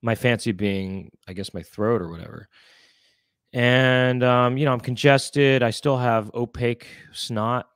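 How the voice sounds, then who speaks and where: A man's voice: medium pitch, measured pace, a bit bright, smooth, no room sound. A man in his thirties co-hosting a casual chat show from a small room at home, congested and rambling playfully into a studio mic. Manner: rambling, playful comic riffing. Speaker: co-host. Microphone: studio mic with headphones.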